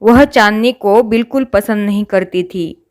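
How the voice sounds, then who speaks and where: A woman's voice: mid-range voice, measured pace. A woman narrating a scripted children's story as a storyteller.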